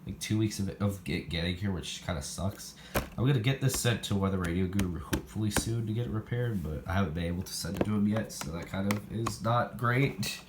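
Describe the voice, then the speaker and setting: Male hobbyist presenter, low voice, casual demo style, thinking out loud, clearly noisy recording. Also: brisk. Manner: rambling, thinking aloud. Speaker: collector presenting weather radios. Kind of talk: casual product demo vlog.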